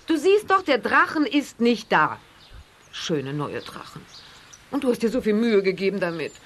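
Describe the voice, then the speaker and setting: A woman's voice: mid-range voice, sing-song, normal speed, fairly bright tone. An actress playing a strict, easily exasperated mother in a children's radio play, scolding and overbearing. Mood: reproachful.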